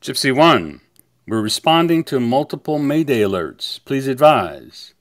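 A man's voice: medium pitch, big pitch swings, a little slow, dry recording, studio quality. A man playing an urgent, official mission control operator in a science-fiction table read. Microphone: remote audio chat app.

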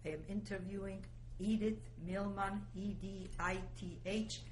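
A woman's voice: mid-range voice, little pitch movement, unhurried, a little mellow, slight background noise, rough recording. A woman conducting a formal oral history interview, prompting politely and steadily.

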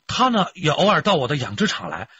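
An actor playing a rough, boastful, lovestruck fisherman questioned by police in a radio drama, folksy and rambling with a husky voice. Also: fairly high pitch, huge pitch swings, fast talker, pushed and bright, no echo, lo-fi sound, full character voice. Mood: smitten, rambling.

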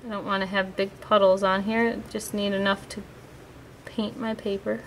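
A woman explaining a watercolor painting lesson step by step in a calm, patient way while she paints at a table. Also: mid-range voice, normal speed, a little hiss or hum, rough recording. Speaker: art teacher. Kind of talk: painting demonstration. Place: indoors at a table, hands-only overhead camera.

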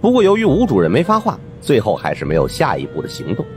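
A man narrating a supernatural mystery audiobook in the first person, in an expressive storytelling style. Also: medium pitch, fast talker. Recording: music under the voice.

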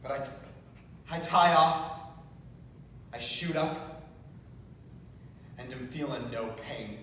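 A young man performing a stage monologue as a battered, defiant heroin addict, telling his story haltingly and dramatically in an echoey room. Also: mid-range voice, fairly bright tone. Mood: raw, defiant. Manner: halting, dramatic retelling with sudden outbursts. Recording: distant echoey room, faint noise.

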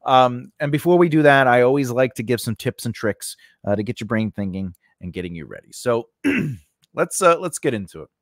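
A man in his forties hosts a lesson in a mid-pitched voice, recorded close on a studio microphone with very little room sound. He talks informally and haltingly, often rewording his points as he explains them. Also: animated, dry recording. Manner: conversational, halting, often rephrasing himself. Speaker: host of a scriptwriting lesson. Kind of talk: informal instructional talk to camera. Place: small indoor recording space. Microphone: close studio microphone on a stand.

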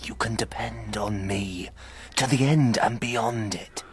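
An actor playing an earnest, loyal knight, measured and urging, in a historical audio drama. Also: male voice, on the low side, slight background noise. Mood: steadfast. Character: Robert, loyal, earnest knight and royal companion. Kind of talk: historical radio drama acting.

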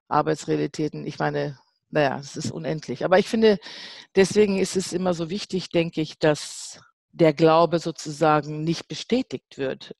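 A man's voice: on the high side, creaky, no room sound. A man answering in a panel discussion, searching for words with fillers and restarts. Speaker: panel guest.

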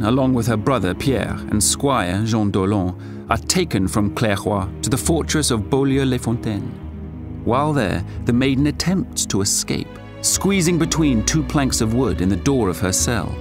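A man's voice: mid-range voice, close to an everyday voice. Casting: male actor as solemn narrator of a historical audio drama, formal, mid-range voice, music behind. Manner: measured, formal. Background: music under the narration.

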